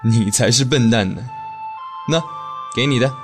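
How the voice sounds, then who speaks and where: A man playing a gentle, trusting young merman in an audio drama. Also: medium pitch, measured pace, over music, natural voice. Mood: tender.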